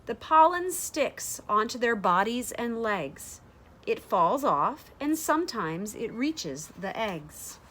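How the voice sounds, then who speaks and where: A woman reads a children's picture book aloud in a fairly high voice. Her pitch rises and falls in a lively way, and she takes her time, placing the rhymes deliberately and explaining as she goes. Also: slight background noise. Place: small sunroom with large windows, indoors.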